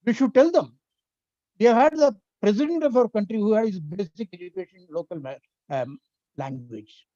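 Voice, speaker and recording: An older speaker with an Indian accent, whose first language is Tamil, lectures over a video call in an earnest, explanatory way. The voice is mellow and swings widely in pitch. The audio is dry and a little muffled. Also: animated, fairly quick, no room sound. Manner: earnest, explanatory, lists points one by one. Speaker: invited speaker on education policy. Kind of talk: webinar lecture.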